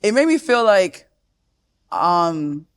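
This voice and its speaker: A young woman chats as a podcast guest, full of laughter and quick restarts. She grew up between Belgium, Nigeria and New York, and her first language was French. She tells her stories with animated, playful energy. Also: on the low side, clear, resonant tone. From Nigerian-American, raised in Belgium, Nigeria and New York. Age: 20s. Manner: lively storyteller, laughs often, quick restarts and "like". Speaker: guest, content creator and comedian. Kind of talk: casual podcast interview chat.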